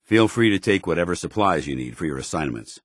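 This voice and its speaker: An actor playing a stern, duty-bound military commander in a video game, speaking formally with clipped authority. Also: male voice, low-pitched, expressive, quick pace, no room sound, studio quality. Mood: commanding, businesslike. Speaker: commanding officer. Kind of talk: scripted video game dialogue.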